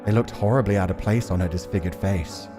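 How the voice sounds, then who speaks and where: A man with a very low voice hosts a visual novel playthrough. He moves between casual, thinking-aloud commentary and narrated reading, with music clearly playing behind him. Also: fairly quick.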